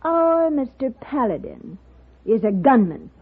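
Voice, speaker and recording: Female, spiteful young socialite for vintage radio western. High animated voice, theatrical goading, thin archival sound. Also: a little slow, slightly rough, exaggerated voice. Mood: gleeful taunting. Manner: taunting, theatrical. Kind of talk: 1950s radio western acting. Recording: tinny old radio, party crowd behind.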